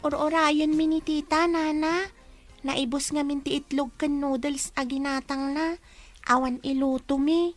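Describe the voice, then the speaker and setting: A woman's voice: high-pitched, steady tone, unhurried, faint music behind. A woman voicing a plaintive, hungry young orphan girl in an audio drama. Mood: hungry, shy. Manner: plaintive, childlike. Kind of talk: audio drama acting in Ilocano.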